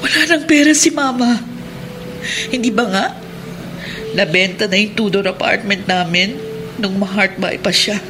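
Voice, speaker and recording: A woman's voice: mid-range voice, measured pace, long pauses, natural voice. A woman playing a policeman's worried, ailing wife in a radio drama, speaking in a distressed, emphatic way. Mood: anguished. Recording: noisy radio drama with ambience.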